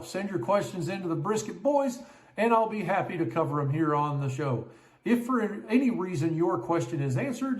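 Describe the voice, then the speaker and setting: A man's voice: sits high, a little mellow, echoey room. A man confidently answering a listener's question about barbecue pits, explaining in a practical way. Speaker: guest pit expert.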